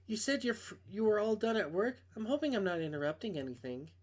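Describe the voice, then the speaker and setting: An anxious, self-conscious young woman from a visual novel, acted out by a man, speaks in a raised pitch. She is halting and hesitant, quick to brush things off. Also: big pitch swings, a little mellow. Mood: bashful.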